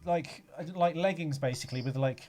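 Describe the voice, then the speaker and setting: A man's voice: on the high side, normal speed, darkish tone. A man co-hosting a podcast, chatting casually about a film with a teasing, sweary manner. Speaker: podcast host.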